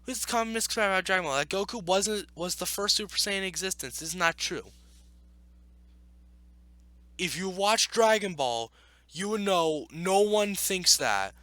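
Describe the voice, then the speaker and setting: A man hosting a video, reading aloud and arguing back with blunt, opinionated off-the-cuff commentary in a halting, self-correcting way. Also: high voice, lively intonation, sharp tone, no room sound. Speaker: video host reacting to an article.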